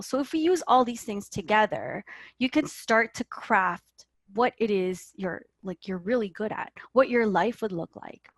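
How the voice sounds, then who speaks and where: Female life coach, interview guest, earnest and explanatory, mid-pitched, dry sound. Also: no room sound. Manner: earnest, often tags sentences with "right?". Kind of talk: motivational interview talk.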